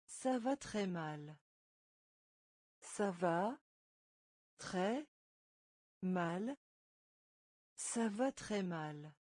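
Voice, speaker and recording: Woman language teacher, very slow and deliberate, breaks phrases into words then says them whole; dry recording. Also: fairly low pitch, expressive, a little mellow, no echo. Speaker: French teacher. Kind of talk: slow phrase-by-phrase pronunciation drill.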